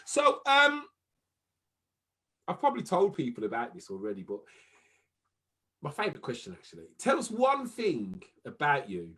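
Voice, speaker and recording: A woman hosting a casual interview, enthusiastic and quick to exclaim. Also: low voice, wildly swooping pitch, fairly bright tone, slightly rough. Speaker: host.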